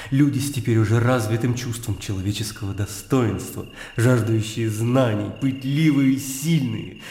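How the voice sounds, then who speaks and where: A man's voice: mid-range voice, mellow, a little hiss or hum, lightly acted. Male actor doing fiery idealist intellectual guy from old russia, preachy and scornful, radio play, echoey room. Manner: declamatory, impassioned speechifying. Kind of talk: Russian radio drama acting. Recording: echoey hall-like reverb.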